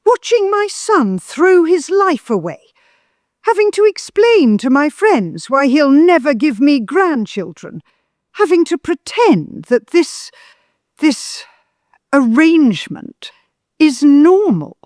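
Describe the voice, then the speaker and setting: A woman's voice: high-pitched, very animated, slow pace, darkish tone, no room sound, clean studio sound, full character voice. An actress playing a bitter, manipulative older mother-in-law in an audio drama, theatrical and guilt-tripping. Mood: indignant.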